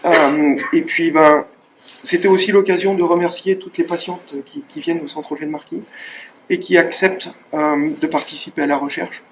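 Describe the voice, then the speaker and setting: A man, a cancer researcher, giving a halting speech of thanks at a charity event, with many pauses and fillers. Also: fairly high pitch, steady tone, noisy background. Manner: hesitant, many fillers and restarts.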